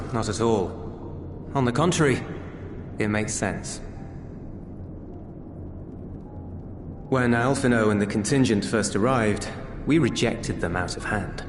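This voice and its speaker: A man's voice: fairly low pitch, close to an everyday voice. An actor playing a reserved, kindly soldierly man in a video game cutscene, speaking in a hesitant, earnest, conversational way. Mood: reflective. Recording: roomy echo with music underneath.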